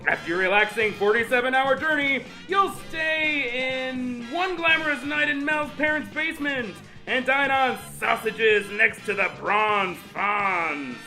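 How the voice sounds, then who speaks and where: A man's voice: very high-pitched, animated, unhurried, hardly any pauses, bright, forceful tone, soft background music, full character voice, poor audio. A man playing a flamboyant, pushy dating show announcer with exaggerated, dramatic delivery. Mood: grandly announcing. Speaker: game show host. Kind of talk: theatrical game show announcing.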